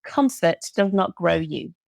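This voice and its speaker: Enthusiastic British woman, gravelly voice. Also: lowish voice, lively intonation, unhurried, darkish tone, dry recording, studio quality. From Britain. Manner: enthusiastic, repeats words for emphasis. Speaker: guest, burnout recovery coach. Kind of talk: casual interview chat.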